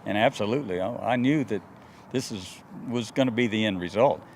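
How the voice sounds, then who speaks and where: A man's voice: on the low side, fairly quick, a little mellow. Earnest, folksy man in his sixties. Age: 60s. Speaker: interviewee, airport worker and pilot. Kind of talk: casual storytelling interview. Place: outdoors in a grassy wooded clearing. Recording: noisy outdoor field recording, faint vehicle hum.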